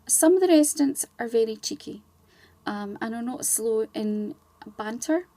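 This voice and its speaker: A woman's voice: on the high side, animated, moderate pace, warm tone, dry recording. A woman speaking as a charity ambassador and guest in a conversational radio interview, chatty and explanatory with wandering asides.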